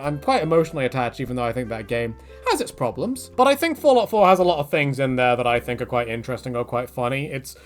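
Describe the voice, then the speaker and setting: A man casually answering viewer questions in a rambling way, with many fillers and restarts. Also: mid-range voice.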